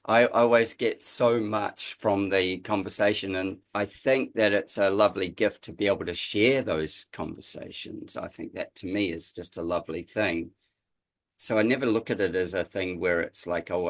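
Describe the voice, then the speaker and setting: A man hosting an interview podcast, speaking in a conversational, reflective way. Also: low-pitched. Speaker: podcast host.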